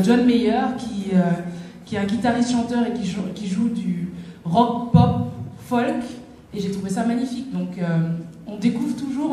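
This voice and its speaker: A young woman singer addresses a class of children, stopping often to search for words. She is picked up from a distance in a large hall full of echo, with steady noise behind her. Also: fairly high pitch. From Guadeloupe. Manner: hesitant, many fillers and restarts. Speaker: singer visiting a school. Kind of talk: informal talk to schoolchildren. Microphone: distant microphone. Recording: echoey hall from far off, background noise.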